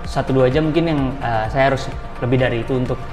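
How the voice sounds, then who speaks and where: A man's voice: medium pitch, fast. A man, explaining things step by step in informal speech as an interview guest. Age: 20s. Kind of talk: casual interview answers. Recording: roomy echoing hall, music behind.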